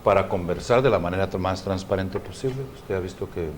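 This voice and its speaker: A man's voice: low voice, slight background noise. A man from Brazil, a native Portuguese speaker with a Brazilian accent, speaking frank, careful Spanish as an interview guest. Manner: frank and outspoken, measured second-language Spanish.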